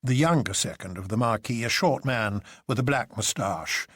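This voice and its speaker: A man with a gravelly, husky voice narrating a literary story in a dramatic style. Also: lowish voice, animated, moderate pace, fairly bright tone, dry recording, clean studio sound. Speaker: audiobook narrator.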